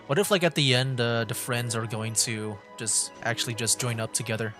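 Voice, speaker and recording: A man's voice: medium pitch, fairly even intonation, brisk, sharp tone, faint music behind. A man hosting a game livestream with casual commentary, musing aloud in a rambling way. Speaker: livestream gamer host.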